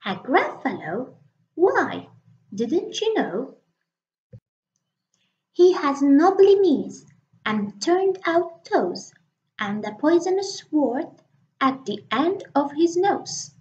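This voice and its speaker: A plucky little storybook mouse, voiced by a woman, high and unhurried. It is polite and cheeky, with a very lively melody. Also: full character voice. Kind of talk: storybook reading. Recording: dull, lo-fi recording.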